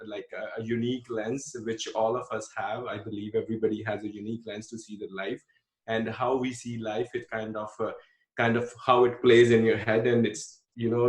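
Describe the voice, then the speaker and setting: A man's voice: lowish voice, a little mellow, roomy sound, rough recording. A man in his thirties from India with an Indian accent, telling his life story earnestly as a podcast guest, with many fillers and restarts. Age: 30s. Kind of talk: casual interview storytelling.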